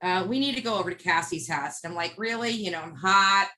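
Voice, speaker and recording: A woman with a bright, forward voice recounts things as an interview guest. She is blunt and emphatic, narrating in casual speech and slipping into quoted dialogue. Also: lowish voice, a little fast. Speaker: interview guest, street protester. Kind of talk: animated casual storytelling.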